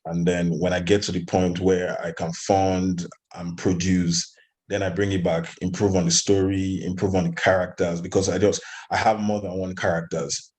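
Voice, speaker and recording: Low-voiced man, halting interviewee. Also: level tone, darkish tone. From Nigeria. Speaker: animation studio founder being interviewed. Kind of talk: conversational interview answers.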